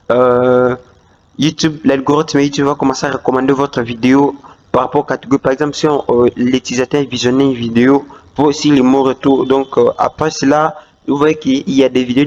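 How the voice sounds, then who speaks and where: A man's voice: medium pitch, slight background noise. A man hosting a screen-recorded tutorial walkthrough, talking hesitantly with many fillers and restarts. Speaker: YouTube tutorial host. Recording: dull lo-fi voice-over.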